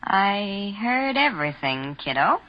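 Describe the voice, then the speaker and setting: A woman's voice: mid-range voice, sing-song, slow. An actress playing a brassy, suspicious, slang-talking girlfriend in a 1940s radio thriller, with an insinuating manner. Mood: suspicious, accusing. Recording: noisy muffled 1940s radio broadcast.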